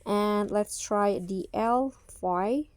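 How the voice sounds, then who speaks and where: A woman presents a how-to tutorial in a casual, step-by-step way. Her voice sits at a middle pitch, and the recording is dry with very little room sound. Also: extremely slow, dry recording. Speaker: tutorial host.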